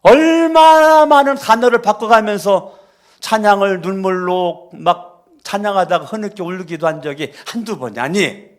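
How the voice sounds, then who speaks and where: A man preaching a sermon as pastor in a church, moving between quiet storytelling and loud, fervent exhortation. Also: high-pitched, normal speed. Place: church sanctuary.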